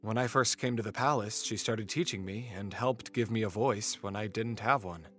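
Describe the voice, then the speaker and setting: A man playing a kind, helpful young merman prince, explaining things gently in an audio drama. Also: on the low side, moderate pace, soft background music, lightly acted. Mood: kind, patient.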